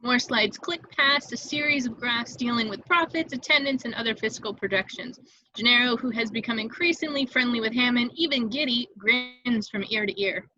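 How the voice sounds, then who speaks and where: Bright-voiced woman narrating a script. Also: fairly high pitch, normal speed, highs cut off. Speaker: narrator reading stage directions. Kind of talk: scripted narration in a table read.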